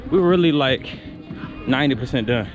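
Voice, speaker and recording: A man's voice: medium pitch, expressive, a little fast, highs cut off, music in the background. A young man vlogging while hiking up a rocky mountain outdoors, playful and teasing, laughing often, on an action camera. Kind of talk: casual hiking vlog chat.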